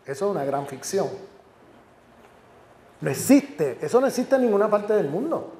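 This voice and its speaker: A man's voice: high voice, big pitch swings, moderate pace, dark tone. Emphatic lecturing man in an echoey hall. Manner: emphatic, repeats and lists words for stress. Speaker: university professor giving a lecture. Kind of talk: academic lecture.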